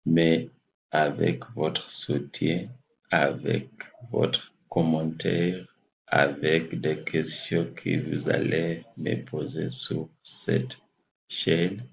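A man in his forties with a Kenyan English accent, a native English speaker, speaking slowly and haltingly to a webcam in a small room as he searches for words. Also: deep voice, creaky, darkish tone. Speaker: health channel host. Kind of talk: casual address to camera. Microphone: laptop webcam at desk distance. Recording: muffled lo-fi webcam audio.

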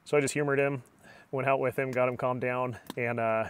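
A man's voice: medium pitch, a little fast, smooth. A middle-aged man chatting casually and telling stories on a camping vlog, recorded inside a small tent at night. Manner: relaxed storytelling, chatty. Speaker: outdoor vlogger. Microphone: camera on a tripod, then close phone at arm's length.

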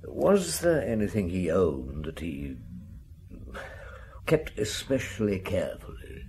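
A middle-aged police inspector in a radio play. He is calm and laconic, with a lowish, expressively moving voice over faint noise. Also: takes it slowly, heavily acted. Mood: gently probing. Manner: laconic, probing. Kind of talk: radio drama acting.

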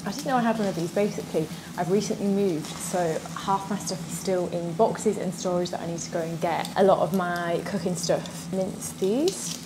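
A woman's voice: lowish voice, normal speed, few pauses, background noise. A young woman in her twenties chatting casually while she cooks in a home kitchen, wearing a clip-on mic. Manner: chatty, bubbly, talks while cooking. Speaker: fitness and cooking creator. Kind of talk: casual cooking demonstration. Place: bright home kitchen indoors.

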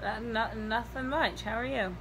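A woman's voice: a little high, moderate pace, noisy background. A woman making a prank phone call, hesitant and holding back laughter, recorded by a far camera in a small bedroom. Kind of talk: casual phone chat. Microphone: far fixed camera.

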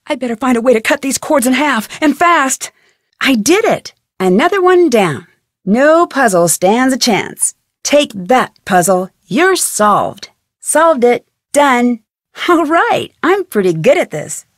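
An actress playing a plucky, curious young detective who perkily talks to herself in a video game. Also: female voice, fairly high pitch, no room sound, clean studio sound. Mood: alarmed, then cheerful, proud. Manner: perky, crisp, self-talking. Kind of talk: video game character lines.

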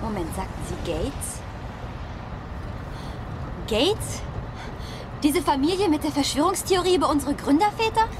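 Indignant, high-voiced academic woman. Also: sing-song, unhurried, long pauses, background noise. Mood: skeptical, indignant. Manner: sharp, indignant. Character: indignant, headstrong female academic, easily outraged. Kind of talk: German film dub acting. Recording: film soundtrack with music behind.

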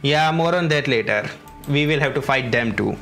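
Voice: medium pitch, slow. A streamer giving casual gameplay commentary, often interjecting and talking back to the characters. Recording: game music behind. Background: game music and dialogue.

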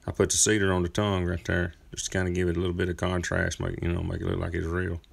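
A man's voice: low-pitched, monotone, fast talker, vocal fry, breathy edge. A man in his thirties, a chainsaw carver, talking through his outdoor work in a laid-back, folksy, rambling way. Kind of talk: casual workshop narration. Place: outdoor driveway workspace.

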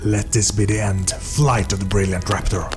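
A man's voice: on the low side, steady tone, normal speed, over music, lightly acted. A man voicing a defiant fantasy warrior who declaims solemn incantations in an audiobook-style story. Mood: resolute. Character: defiant male fantasy warrior calling down fire.

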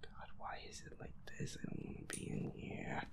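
A man's voice: moderate pace, in a whisper, lightly acted. A man playing a feisty werewolf boyfriend in an audio roleplay, muttering nervous, sweary complaints while playing a horror game. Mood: scared, tense. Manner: sweary, jittery, muttering complaints under the breath.

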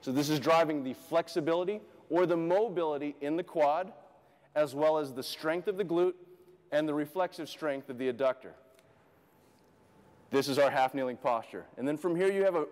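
A man teaching as an instructor, calmly walking through a demonstration step by step, explaining posture cues to camera. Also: fairly high pitch, fairly quick. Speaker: fitness and rehab instructor. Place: clinic studio with long reverb. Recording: reverberant room sound.

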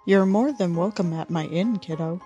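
Casting: woman as a friendly monster innkeeper, lower register, welcoming, comic dub. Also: normal speed, mellow, highs cut off, natural voice. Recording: faint music behind.

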